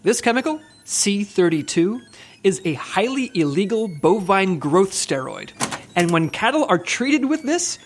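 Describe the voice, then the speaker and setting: A man's voice: high voice, sing-song, a little slow, a little hiss or hum, exaggerated voice. An actor playing an earnest, officious male spy team leader briefing agents in a comedic audio drama, businesslike and literal-minded. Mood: brisk, organizing.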